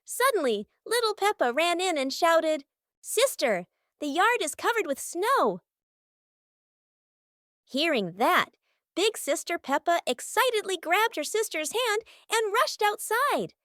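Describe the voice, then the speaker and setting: A woman's voice: extremely high pitch, very animated, measured pace, a bit bright, no room sound, clean studio sound. A woman reading scripted narration for a children's cartoon story.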